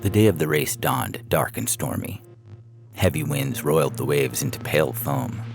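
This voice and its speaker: A man's voice: extremely low pitch, normal speed, vocal fry. A man reading a humorous science fiction story as a scripted narration, with music playing behind him.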